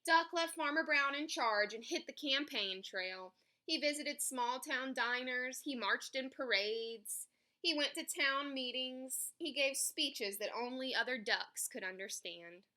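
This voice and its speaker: A woman reading a story aloud for children in an expressive, emphatic way. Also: high-pitched, unhurried. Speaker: picture book reader.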